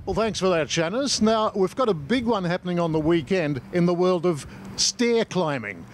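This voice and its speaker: A man's voice: high-pitched, animated, moderate pace, a little hiss or hum. An Australian man working as a TV reporter, doing light, chummy local interviews in an informal way. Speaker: TV news reporter.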